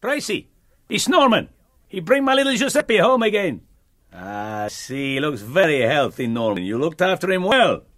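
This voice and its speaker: A man's voice: on the high side, big pitch swings, moderate pace, fairly bright tone, no room sound, studio quality. An actor playing a smug, scheming Italian man with an Italian accent and broken English, a fussy parrot owner in a sitcom. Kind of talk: sitcom acting.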